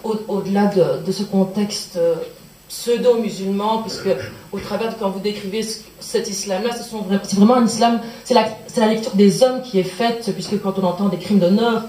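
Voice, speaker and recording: A woman's voice: mid-range voice, fairly even intonation, normal speed, mellow, slight background noise. A woman from Belgium, asking an earnest, searching question from the audience at a public lecture and circling back on her words. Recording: echoey conference hall.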